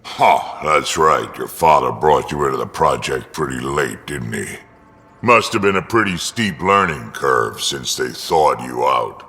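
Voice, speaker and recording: An actor playing an ancient, gruff alien mercenary and proud grandfather in a video game, blunt, dry and salty, with a gravelly rumble. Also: lively intonation, a little slow, bright, forceful tone, big-room sound, full character voice. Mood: proud, amused. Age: older. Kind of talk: video game character dialogue.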